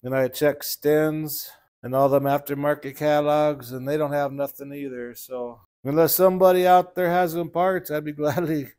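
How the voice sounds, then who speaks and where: A man's voice: a little high, little pitch movement, moderate pace, a little mellow. A middle-aged man, a small engine mechanic, talking casually and plainly while taking apart an engine in his repair shop. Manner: plainspoken, folksy shop talk. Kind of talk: casual hands-on repair walkthrough.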